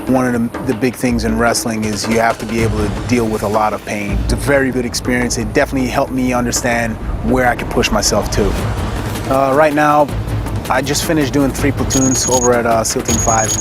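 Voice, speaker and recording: Man, from Trinidad and Tobago, medium pitch, instructor's interview testimonial, clear music bed. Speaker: military combatives instructor.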